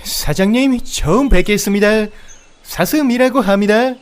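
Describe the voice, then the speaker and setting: A man's voice: high voice, normal speed, voice pushed up, lightly acted. A man voicing a pleading, wheedling talking deer in a comedic audio fairy tale, obsequiously polite like a salesman. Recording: outdoor nature ambience, birdsong behind.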